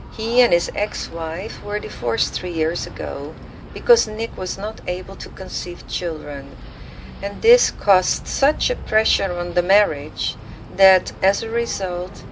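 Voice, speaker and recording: Slow-paced woman narrating a story. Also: mid-range voice, rough recording. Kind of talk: scripted audio drama narration. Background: faint noise and ambient sound effects.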